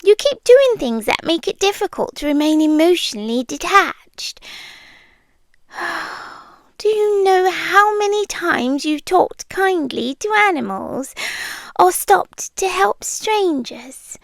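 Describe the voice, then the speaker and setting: A British woman playing a shy, smitten under-the-bed creature in an intimate ASMR roleplay, soft-spoken and often whispery, awkward and deadpan. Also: very high voice, takes it slowly, vocal fry, no echo, heavily acted. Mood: teasing, flustered, then tender, reluctant. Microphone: close dry microphone.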